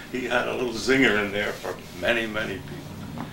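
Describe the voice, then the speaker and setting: A man's voice: on the low side, fairly even intonation, normal speed, gravelly, noisy background. An older man reminiscing casually in a folksy, agreeable way. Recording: distant mic in echoey room.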